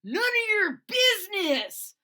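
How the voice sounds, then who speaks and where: A woman's voice: extremely high pitch, big pitch swings, slow, pushed and bright, pitched above the performer's own voice, heavily acted. A woman voicing a bossy male dinosaur gang leader in a read-aloud storybook, demanding and menacing. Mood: threatening.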